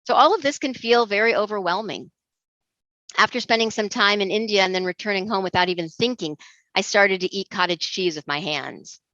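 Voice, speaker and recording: Middle-aged woman giving a measured talk. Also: medium pitch, a bit bright, no room sound. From Egypt. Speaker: intercultural trainer. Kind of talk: recorded presentation talk. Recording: dull dry lo-fi recording.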